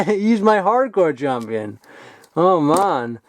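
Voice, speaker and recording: A young man chatting casually as he digs through old toy figures, rambling and getting excited. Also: a little high, extremely slow, dry recording, studio quality. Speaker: toy collector vlogger. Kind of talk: casual unboxing chat.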